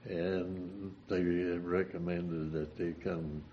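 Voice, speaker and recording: Very deep, slow old man. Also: flat delivery, muffled recording, slight background noise. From Missouri. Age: 80s. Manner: halting, searches for words. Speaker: interviewee. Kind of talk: oral history interview.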